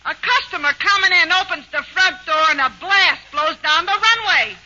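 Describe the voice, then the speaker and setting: An actress playing a brassy, slangy young burlesque bubble dancer in a 1940s radio comedy, on a lo-fi old broadcast. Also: female voice, very high-pitched, normal speed, sharp tone, a little hiss or hum, heavily acted. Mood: boastful. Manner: slangy, tough and cocky.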